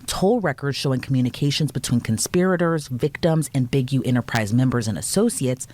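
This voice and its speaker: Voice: mid-range voice, brisk, a little mellow. A narrator reading a scripted news explainer with punchy, dramatic phrasing.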